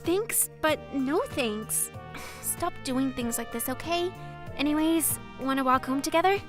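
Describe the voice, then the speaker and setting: A woman playing a proud, kind high school girl from a poor family in a manga dub. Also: a little slow, over music. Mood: uneasy.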